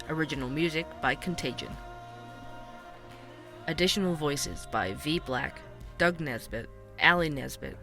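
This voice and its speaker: A man's voice: fairly high pitch, bright, forceful tone. A man reading scripted end credits for a podcast as its announcer.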